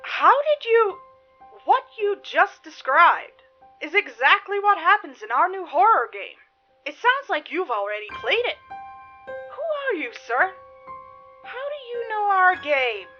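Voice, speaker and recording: A woman voicing a sarcastic, easily startled male marketing agent with a high-pitched voice in a horror story reading, with music behind. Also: big pitch swings, measured pace, highs cut off, exaggerated voice. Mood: startled, baffled. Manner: sarcastic, exclaiming.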